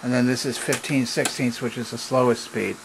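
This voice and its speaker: A man presenting an unscripted hands-on technical demonstration in a halting, methodical, explanatory way. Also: mid-range voice, fairly even intonation. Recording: noticeable background noise.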